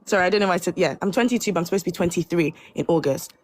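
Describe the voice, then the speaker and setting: A woman's voice: lowish voice, rapid-fire, dry recording, studio quality. A woman in her twenties from the UK, a guest on a live panel chat, talking hesitantly and apologetically with many fillers. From United Kingdom. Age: 20s.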